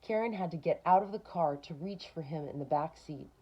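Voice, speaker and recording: A woman's voice: sits low, a little fast, husky, highs cut off. A woman reading a picture book aloud to children, expressively, with short asides of her own.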